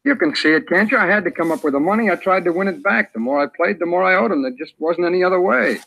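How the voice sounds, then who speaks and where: An actor playing a cocky, evasive murder suspect in an old radio crime drama, talking in insolent, hesitant slang. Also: male voice, high voice, lively intonation, fast talker, gravelly, fairly bright tone, exaggerated voice, low-quality recording. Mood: desperate, pleading. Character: cocky, evasive male murder suspect who turns pleading under questioning.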